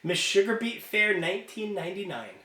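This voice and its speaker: A man voicing a giddy, taunting teenage girl in a storybook, playful and sing-song with drawn-out condescension. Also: high voice, sing-song, sharp tone, roomy sound, voice pushed up, exaggerated voice. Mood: flattered, giddy.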